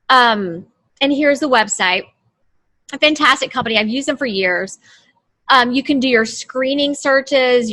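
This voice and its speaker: A woman's voice: a little high, pushed and bright, poor audio. A woman from the United States, a trademark lawyer, talking through her screen on a video call in a chatty way, often restarting her phrases. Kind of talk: casual explanatory chat over screen share.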